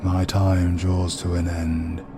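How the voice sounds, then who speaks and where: Man playing a very deep, solemn old spirit. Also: monotone, music in the background. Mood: solemn, resigned. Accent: British. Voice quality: deep, husky. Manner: slow, grave, portentous. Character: solemn, ancient fatherly spirit of light, a dying guide.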